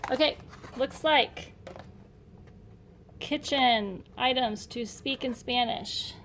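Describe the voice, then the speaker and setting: Female homeschool vlogger, middle-aged, slightly high voice, casual and upbeat; home recording with faint noise. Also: slow, fairly bright tone. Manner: chatty, upbeat, enthusiastic. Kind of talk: casual unboxing narration. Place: indoor room at home.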